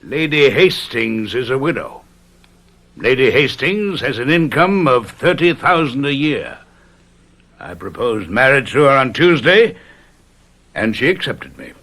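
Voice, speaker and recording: An actor playing a cruel, pompous English aristocrat in an old radio drama, sarcastic and menacing. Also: male voice, mid-range voice, sing-song, a little slow, a bit bright, exaggerated voice. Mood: scornful. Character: cruel, gloating English aristocrat husband with a gun.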